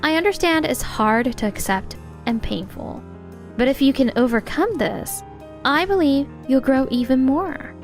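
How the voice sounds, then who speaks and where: A woman's voice: sits high, animated, measured pace, faint music behind. A woman voicing a poised, encouraging young office supervisor in a manga dub, earnest and frank but kind. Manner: composed, earnest, supportive. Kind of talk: anime-style dubbed dialogue.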